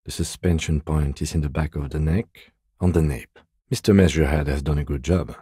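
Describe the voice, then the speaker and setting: A man playing a composed, meticulous police lieutenant detective in a video game, speaking in a precise, deadpan, politely reserved way. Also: very deep voice, brisk, breathy edge, no echo, clean studio sound, heavily acted. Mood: clinical. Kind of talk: video game character dialogue.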